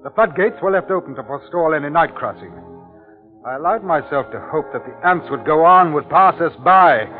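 An actor playing an anxious, observant commissioner recounting a siege in a 1950s radio drama. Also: male voice, fairly high pitch, lively intonation, lightly acted. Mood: grave narration. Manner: measured, dramatic storytelling. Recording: tinny 1950s radio recording with music.

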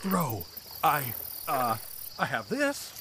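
A man's voice: medium pitch, wildly swooping pitch, slow pace, sharp tone, a little hiss or hum, heavily acted. A man playing a cowardly, insecure, chubby hero who rambles and pleads whinily in a fantasy comedy audio drama. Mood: sheepish, hurt.

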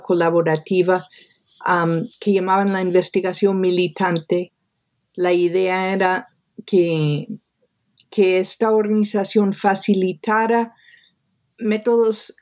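A woman researcher answers interview questions in a measured, slow delivery. She pauses often to find the next phrase, explaining things thoughtfully and without a script. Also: fairly low pitch, a little mellow. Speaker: interviewed author and academic researcher.

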